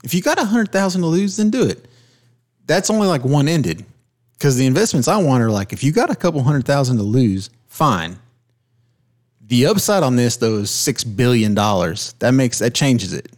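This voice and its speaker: A man with a middle-pitched voice hosts a casual podcast chat. He argues his point emphatically and cuts in often to push it. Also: very animated, moderate pace. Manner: emphatic, keeps interrupting to make his point. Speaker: podcast host.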